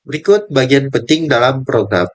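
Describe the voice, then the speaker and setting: A man's voice: medium pitch, moderate pace. A young male student presenting his part of a group lesson, reading out steps and table values with small slips and restarts. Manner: reads numbers in steady lists, with small slips and restarts.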